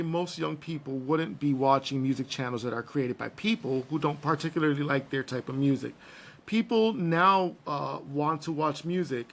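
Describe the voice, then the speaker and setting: A man hosting a casual podcast, slightly hoarse, playful and joking as he gives shout-outs and talks news. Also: on the high side. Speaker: podcast host.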